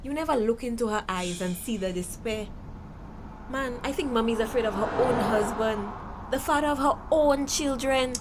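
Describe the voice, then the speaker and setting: A woman's voice: on the high side, moderate pace, natural voice. A woman with a Caribbean accent playing an outspoken, insistent young daughter in a TV drama. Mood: upset, pleading. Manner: insistent, challenging, questioning. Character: outspoken young woman, anxious daughter pleading for her mother. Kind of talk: TV drama dialogue. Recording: clearly noisy background ambience.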